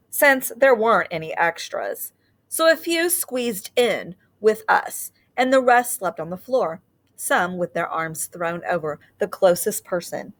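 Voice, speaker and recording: A woman reading a children's picture book aloud like a teacher, with expressive storytelling and deliberate, drawn-out phrasing. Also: fairly low pitch.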